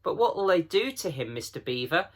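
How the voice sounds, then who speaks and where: A man's voice: on the high side, very animated, normal speed, a bit bright, pitched above the performer's own voice. A man voicing an earnest, anxious young girl in a children's storybook, pleading and urgent.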